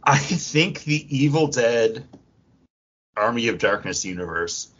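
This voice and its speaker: A man co-hosting a podcast in casual, animated pop culture chat, swearing freely and acting out imagined quotes. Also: mid-range voice, unhurried. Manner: profane, acts out imagined quotes mid-talk. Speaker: podcast co-host. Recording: dull, lo-fi audio.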